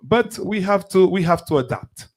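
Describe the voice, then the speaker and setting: A man casually hosting a live online talk show, speaking haltingly and often repeating words and phrases. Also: high voice, lively intonation, heavy background noise. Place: remote online video or audio call.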